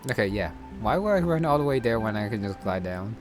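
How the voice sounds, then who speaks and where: A man's voice: fairly low pitch, big pitch swings, quick pace. A man giving casual, offhand gameplay commentary, thinking aloud as he plays a video game. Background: game music.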